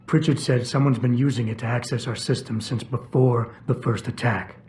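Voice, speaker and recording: An actor playing a stoic, probing ex-cop security chief in a game, with a hushed gravelly voice, terse and deadpan. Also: male voice, medium pitch, moderate pace, lightly acted. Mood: guarded, insistent. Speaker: video game protagonist. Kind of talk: video game cutscene acting. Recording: steady background ambience noise.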